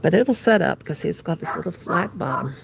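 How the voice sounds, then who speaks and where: A woman's voice: on the low side, lively intonation, a little fast, gravelly, noisy background. An older American woman hosting a casual crochet livestream, playful and silly, laughing and talking to herself as she works. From United States. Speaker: livestream host.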